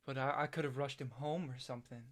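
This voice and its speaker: A man's voice: medium pitch, fairly even intonation, a bit bright, natural voice. Guy acting a troubled rural young man in a play reading, stammery, guilt ridden, takes everything literally. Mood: confused, guilty. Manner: halting, stammering, repeating words.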